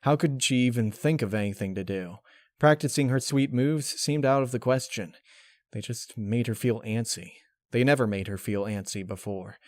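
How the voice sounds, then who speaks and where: A man's voice: fairly low pitch, darkish tone, no echo, studio quality. A man reading a story aloud as scripted, dramatic narration.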